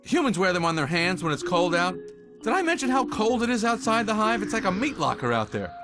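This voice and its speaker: An actor playing a plucky, wisecracking young honeybee in a cartoon video game, with a wry, dramatic delivery. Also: male voice, sits high, very animated, fairly quick, over music. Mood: wry, boastful. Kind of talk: animated video game voice acting.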